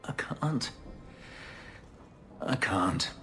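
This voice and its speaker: A man playing a haunted, guarded former slave in a video game, speaking in halting, formal, grave phrases. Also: pushed and bright, faint music behind. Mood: distressed, regretful. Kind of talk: dramatic video game acting.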